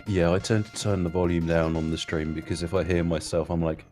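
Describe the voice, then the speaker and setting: A man's voice: low voice, flat delivery, brisk, a little mellow, faint music behind. A man with a South African accent, joking and laughing easily in casual stream chat.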